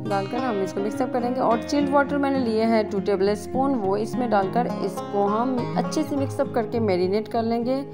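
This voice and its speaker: Higher-pitched woman narrating a recipe over guitar. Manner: mixes English words into her talk. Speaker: cooking channel host. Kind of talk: casual recipe voice-over, step-by-step instructions. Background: guitar music.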